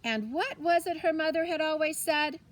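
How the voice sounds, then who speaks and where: A woman narrating a children's storybook aloud in a deliberate, expressive way, with pauses for effect. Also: high-pitched. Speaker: teacher reading a story aloud.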